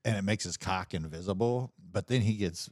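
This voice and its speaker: A man chatting casually and comedically, rambling in an animated, profane way. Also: low-pitched, brisk, no room sound, studio quality. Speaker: livestream show host.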